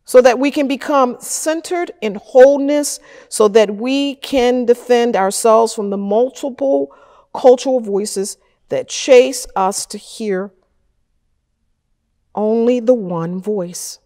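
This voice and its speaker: A middle-aged woman pastor preaching a sermon from a church lectern, with slow, measured delivery and deliberate pauses. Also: fairly high pitch, dark tone. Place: wood-paneled church chancel, at a lectern. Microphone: fixed camera at a distance. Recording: church with a long reverb tail.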